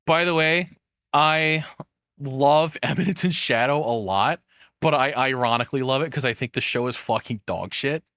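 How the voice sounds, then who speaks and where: A man's voice: mid-range voice, moderate pace, fairly bright tone, no echo, studio quality. A man giving casual, opinionated commentary, rambling and swearing bluntly as he goes. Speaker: streamer reacting to a video.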